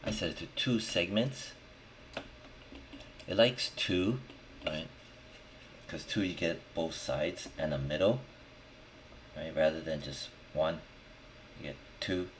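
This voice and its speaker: Male tutorial instructor, extremely low voice, unhurried and informal; noisy recording with keyboard clicks. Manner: informal, thinking aloud, checking in with "right?". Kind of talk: casual screen-recorded software tutorial, talking while working. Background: background noise, typing and clicking.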